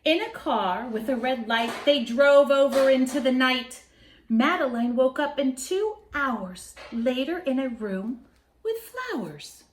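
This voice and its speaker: High-voiced, expressive woman reading a children's story. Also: slight background noise. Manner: expressive, theatrical, with dramatic pauses. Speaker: picture book reader. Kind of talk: children's story read aloud. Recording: roomy echo.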